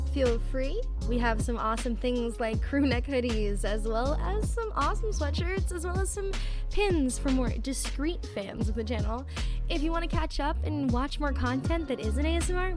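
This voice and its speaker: A young woman hosting her channel, giving an upbeat outro to her listeners. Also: sits high. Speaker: channel host, audio creator. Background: music.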